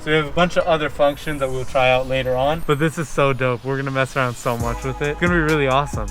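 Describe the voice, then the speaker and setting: A man's voice: mid-range voice, steady tone, quick pace, fairly bright tone, faint music behind. A young man chatting casually and enthusiastically in slangy speech as he hosts a car vlog. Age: young adult. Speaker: car vlogger, host.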